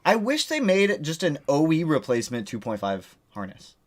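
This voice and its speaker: A man's voice: fairly high pitch, huge pitch swings, moderate pace. A man hosting a casual livestream, chatting with viewers and rambling from one topic to the next. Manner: rambling, jumps between topics. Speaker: livestream host. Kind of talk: casual chat answering viewers.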